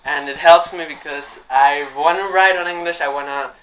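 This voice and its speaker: A filmmaker fields audience questions after a screening. The speech is fluent but wordy, looping through fillers and restarts at a middling pitch. The room sounds roomy and echoey, with faint noise behind. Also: moderate pace, a bit bright.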